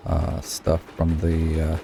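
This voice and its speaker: A man's voice: extremely low pitch, monotone, slow, creaky, background noise. A man from Utah giving casual, rambling commentary as he plays a video game, muttering at it as he goes. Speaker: gaming YouTuber. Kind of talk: casual let's-play commentary.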